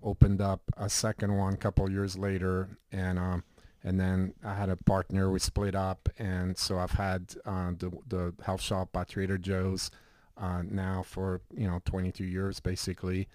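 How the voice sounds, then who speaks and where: A middle-aged man from Switzerland speaks as an interview guest with a low, level voice that barely rises or falls. He talks in a relaxed, rambling way, full of fillers. The recording is dry and close. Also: normal speed, no room sound. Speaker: guest, health shop owner. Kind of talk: casual interview talk.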